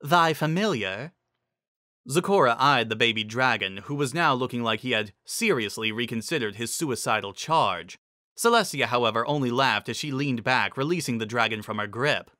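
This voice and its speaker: A man narrates a fantasy story as a dramatic reading. His pitch is mid-range and very lively, and the tone is bright and forceful. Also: fairly quick, no room sound, studio quality.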